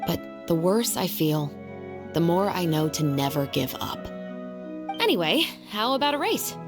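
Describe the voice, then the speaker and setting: Low-pitched, composed young officer. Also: female voice, sing-song, over music. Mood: earnest. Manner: measured, sincere, formal. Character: composed, earnest young female patrol officer, resolute. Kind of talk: anime-style video game voice acting.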